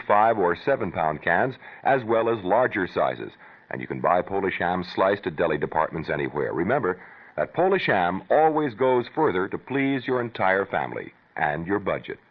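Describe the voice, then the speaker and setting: A man reading a scripted radio commercial in an upbeat selling voice. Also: on the low side. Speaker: radio ad announcer. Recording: dull old radio broadcast.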